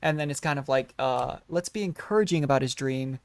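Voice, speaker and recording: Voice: medium pitch, fairly quick, no room sound. A game streamer giving casual playthrough commentary, musing and a little hesitant while thinking aloud. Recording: game music behind the voice.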